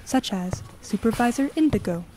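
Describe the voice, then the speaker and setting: A woman voicing a calm, neutral, helpful artificial guide in an audio drama, formal and informative. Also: fairly high pitch, moderate pace, slight background noise, lightly acted. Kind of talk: audio drama announcing and briefing. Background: music.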